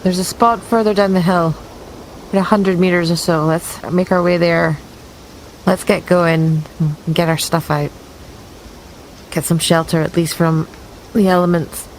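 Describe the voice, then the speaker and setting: A woman's voice: lowish voice, steady tone, unhurried, long pauses, a little mellow, low-quality recording. A woman chatting casually as a player in a tabletop roleplaying game. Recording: clear background noise.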